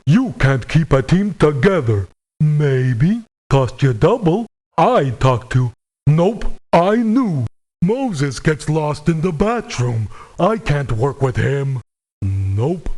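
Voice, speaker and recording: A combative, stubborn hired gun in a video game. His voice is slightly high yet dark in tone, and he delivers terse, folksy lines very slowly. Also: animated. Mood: gruff, defiant. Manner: terse, colloquial, confrontational. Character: grumpy, combative male mercenary, stubborn and folksy. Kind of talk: video game character voice acting.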